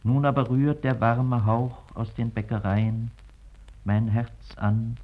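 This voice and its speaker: A man recites a poem slowly and soberly. His delivery is measured and deliberate, and the recording sounds dull and muffled. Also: medium pitch, level tone.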